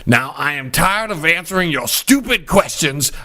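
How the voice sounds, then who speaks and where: An irritable customer played by a man in a comedy phone sketch, with a forceful, bright voice set a bit high. He is blunt and exasperated, grumbling and cursing through his complaints. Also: lively intonation, normal speed. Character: irritable, cranky cable customer named Shady, quick to curse.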